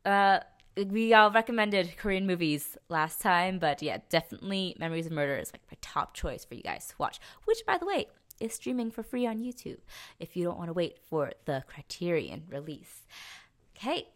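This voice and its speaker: A woman's voice: on the low side, big pitch swings, normal speed. A young adult woman co-hosting a podcast, chatting casually and enthusiastically. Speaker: podcast co-host. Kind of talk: casual chat.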